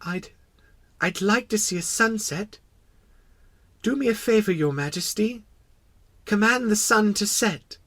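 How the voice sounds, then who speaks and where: A man's voice: high voice, measured pace, pauses often, pitched above the performer's own voice. A man voicing a timid, curious little prince in a storybook, speaking politely and hesitantly. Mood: hopeful, pleading. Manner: soft, hesitant, polite questioning.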